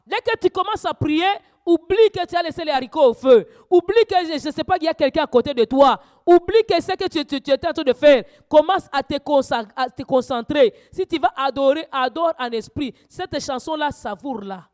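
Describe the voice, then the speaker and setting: A woman preacher teaching a church sermon, swinging from quiet asides to loud, urgent exhortations. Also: high voice, lively intonation, brisk, hardly any pauses.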